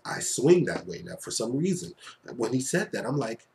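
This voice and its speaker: A man's voice: mid-range voice, sing-song, normal speed, gravelly, a little mellow, slight background noise. A man giving a casual, enthusiastic film review, gushing conversationally and repeating phrases for emphasis. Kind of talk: casual, enthusiastic fan review to camera or mic.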